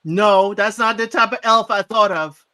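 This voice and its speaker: Bright high-voiced man from Trinidad. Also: normal speed. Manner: playful, apologetic, chatty. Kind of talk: casual live chat.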